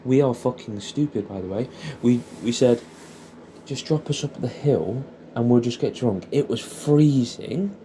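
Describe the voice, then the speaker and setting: Mellow-voiced English man reminiscing casually. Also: medium pitch, measured pace, slight background noise. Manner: rambling, nostalgic, swears freely, tags questions onto statements. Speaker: audio creator. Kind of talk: casual intimate reminiscing addressed to an old friend.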